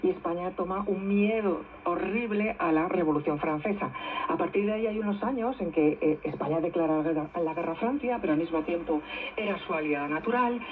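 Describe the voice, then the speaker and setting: A woman's voice: on the low side, fairly quick, phone-quality sound, slight background noise. A woman, a novelist guest explaining history in a radio interview, often ending her points with tag questions. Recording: thin shortwave radio reception.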